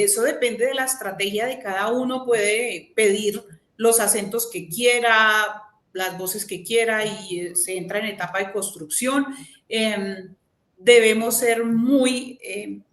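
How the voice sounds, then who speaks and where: Panelist, woman, higher voice, measured and explanatory, echoey room sound. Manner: measured, explanatory, pauses with fillers. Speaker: panelist from a vacation company. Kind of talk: webinar panel talk.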